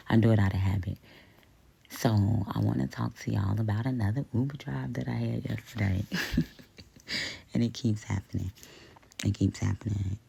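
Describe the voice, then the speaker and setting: A woman with a deep, raspy voice chatting to her viewers and telling a story in a casual, rambling way, with many ums and some half-whispered asides. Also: dark tone, rough recording. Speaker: channel host.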